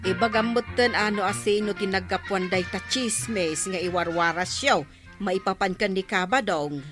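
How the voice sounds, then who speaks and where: A woman's voice: mid-range voice, normal speed, music in the background, lightly acted. A woman with an Ilocano accent playing a businesslike, disapproving village councilwoman in a radio comedy drama. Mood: inquisitive.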